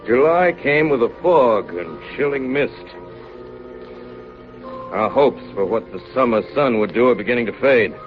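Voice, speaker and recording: An actor playing a steady, authoritative ship's officer in a 1930s radio drama, grave and firm with clipped phrasing. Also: male voice, medium pitch, a little slow, over music, lightly acted. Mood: grave, weary. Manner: grave, clipped log-style narration, firm in dialogue. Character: steady, authoritative ship's chief officer narrating polar ordeal. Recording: dull, lightly noisy 1930s radio recording.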